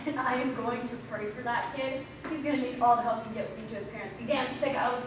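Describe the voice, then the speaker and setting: A woman's voice: fairly high pitch, fairly even intonation, fast, close to an everyday voice. A woman acting a disgusted, blunt dinner guest in a stage comedy, incredulous and scolding. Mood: angry, disgusted. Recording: very echoey, noisy room, far from mic.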